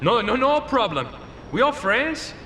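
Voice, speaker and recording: A man's voice: sing-song, unhurried, bright, forceful tone, echoey room, big-room sound, a little hiss or hum, exaggerated voice. A man voicing a panicky cartel thug, pleading frantically in a game cutscene. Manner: pleading, frantic, high-pitched. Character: panicky male Colombian cartel thug, begging.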